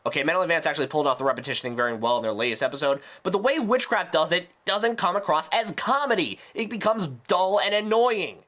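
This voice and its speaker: A man narrates a critical video review with a bright, forceful voice. His pitch swings widely as he rants, sarcastic and exasperated throughout. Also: animated, fast, hardly any pauses.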